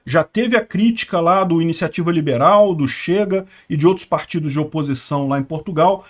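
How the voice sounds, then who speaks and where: A man's voice: a little high, fairly quick. A Brazilian man giving sarcastic, indignant political commentary. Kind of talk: opinionated news commentary.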